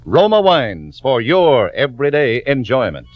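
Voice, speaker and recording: A man's voice: medium pitch, sing-song, unhurried. A man announcing on 1940s radio with a polished, emphatic sponsor's delivery. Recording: dull vintage radio broadcast, faint music.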